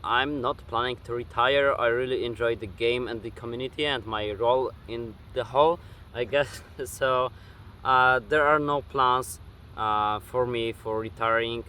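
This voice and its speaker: Bright-voiced young Polish man. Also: medium pitch, a little slow, slight background noise. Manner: hesitant, many fillers and restarts. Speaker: gaming YouTuber. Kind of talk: casual Q&A vlog. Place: outdoors at dusk. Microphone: camera facing him.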